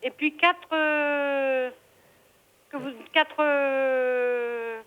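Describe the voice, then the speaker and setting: A woman's voice: high voice, steady tone, extremely slow. A woman who owns a restaurant, chatting on the telephone in a polite, deferential and hesitant way. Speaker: restaurant owner answering the phone. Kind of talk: spontaneous phone conversation. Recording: dull lo-fi telephone line.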